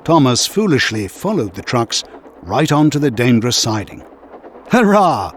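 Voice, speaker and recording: A man narrating a scripted children's story in an expressive, measured storytelling manner. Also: mid-range voice, a little hiss or hum.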